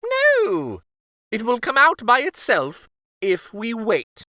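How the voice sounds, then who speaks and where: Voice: medium pitch, huge pitch swings, slow, sharp tone, no echo, studio quality, voice pushed up, full character voice. A man voicing a fussy, reluctant storybook king with whiny, exclamatory protests. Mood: stubborn refusal. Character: fussy, reluctant king afraid of splinter removal.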